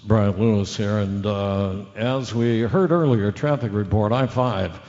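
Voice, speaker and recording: A man's voice: fairly low pitch, a little slow, darkish tone. A man playing a radio newsroom reporter in a radio drama, informal and slightly hesitant. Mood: sober. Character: male radio newsroom reporter giving breaking news update. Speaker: news reporter. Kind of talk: radio drama news bulletin. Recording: dull lo-fi sound, long hall reverb.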